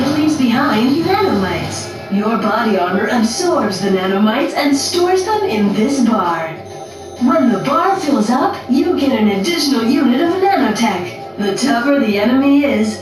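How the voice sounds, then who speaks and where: Actress doing a courteous corporate game tutorial lady, slightly high voice, music behind, super echoey. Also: far from the mic, natural voice. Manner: courteous, salesy corporate delivery. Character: polite corporate woman presenting armor tutorial. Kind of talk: scripted instructional narration.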